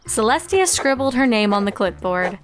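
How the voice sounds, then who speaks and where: High-voiced woman narrating a story. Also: big pitch swings, moderate pace. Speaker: fan fiction reader and narrator.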